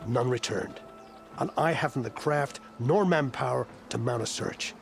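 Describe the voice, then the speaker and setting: An actor playing a weary, proud older admiral of a stranded fleet in a video game, speaking in a formal, grave, wry way. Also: male voice, medium pitch, a little slow, gravelly. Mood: bitter, weary. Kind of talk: cinematic video game acting. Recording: music behind the voice.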